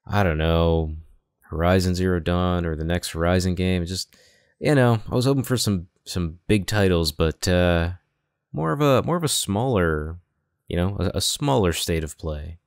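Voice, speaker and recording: A man's voice: low-pitched, normal speed, clear, resonant tone, no echo, studio quality. A man co-hosting a podcast, chatting casually and agreeably about video games in an informal way. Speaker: podcast co-host.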